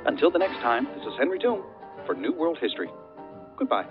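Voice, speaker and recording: Thin, tinny television sound frames a man playing an earnest documentary host in an audio drama. He narrates formally in a presenter's manner, with music clearly behind. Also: fairly high pitch, big pitch swings, brisk. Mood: earnest, polished.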